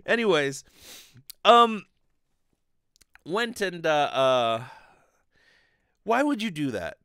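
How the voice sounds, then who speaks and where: Animated, incredulous man ranting casually. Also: fairly high pitch, drawn-out words, smooth, no echo, studio quality. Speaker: comedy show host. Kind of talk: casual comedic commentary with swearing. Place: small home bedroom. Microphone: desk microphone with pop filter, close.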